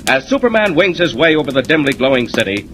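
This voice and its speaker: A man's voice: on the high side, big pitch swings, fast talker, fairly bright tone. A male announcer narrating in dramatic 1940s radio style, emphatic and suspenseful. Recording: noisy vintage 1940s radio recording.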